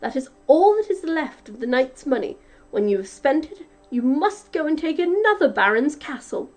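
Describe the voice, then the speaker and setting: Woman acting scolding, high-voiced giantess wife. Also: big pitch swings, normal speed, darkish tone. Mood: bossy. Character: bossy giantess wife, scolding, brisk, dim-witted.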